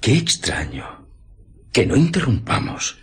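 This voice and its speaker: An actor playing a nosy, baffled village innkeeper and henpecked husband in a radio drama. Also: male voice, lowish voice, huge pitch swings, slow, vocal fry, exaggerated voice. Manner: curious, baffled, questioning.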